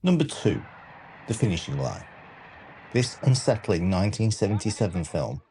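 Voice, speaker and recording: A man narrating a scripted countdown documentary with a measured, dramatic delivery. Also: lowish voice, very animated, warm tone, a little hiss or hum. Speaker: narrator and channel host.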